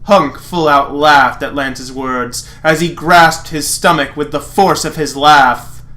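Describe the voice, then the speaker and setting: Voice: medium pitch, fairly even intonation, slow, pushed and bright. A sarcastic, exasperated reader narrating fan fiction dramatically and breaking off for mocking asides.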